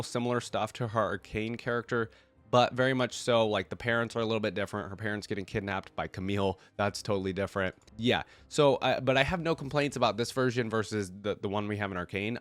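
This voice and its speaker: A man with a fairly low voice, stuffed up from a cold, reads lore aloud and comments on it informally. He thinks out loud and talks straight to his viewers. The recording is dry, with hardly any room sound. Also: dry recording. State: congested with a cold. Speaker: reactor reading game lore aloud and commenting. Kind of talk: casual reaction commentary mixed with story reading.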